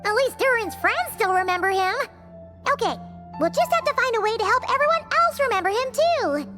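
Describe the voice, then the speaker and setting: A tiny, squeaky pixie girl for a video game. The voice is extremely high and childlike, swinging widely as it exclaims, bossy and cheerful, with music playing behind it. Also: a little fast. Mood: cheerful, eager. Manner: exclamatory, blunt, animated. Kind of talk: animated video game acting.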